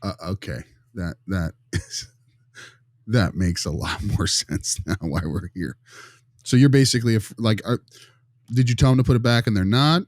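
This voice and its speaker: Man playing a halting low-voiced consultant, dry sound. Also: a little mellow, dry recording, lightly acted. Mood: tactful, friendly. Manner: halting, hedging, rephrases questions. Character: friendly, tactful male school behavior consultant probing gently. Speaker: visiting behavior consultant.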